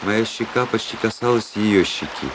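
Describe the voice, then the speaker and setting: An actor playing a cynical, proud young officer who narrates his own story in a measured, literary radio play style. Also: on the low side, background noise, natural voice. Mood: brooding, self-satisfied.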